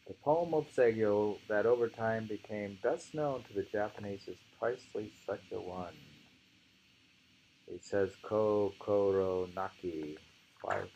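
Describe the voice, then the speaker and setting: A man reading poetry aloud and commenting on it informally, in a halting, musing way with pauses and hums. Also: fairly low pitch, vocal fry, a little mellow, highs cut off, slight background noise. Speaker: reader and discussion host.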